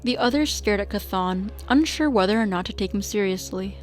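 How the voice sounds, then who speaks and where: A woman's voice: medium pitch, lively intonation, moderate pace, soft background music. A woman reading scripted story narration for an audio drama.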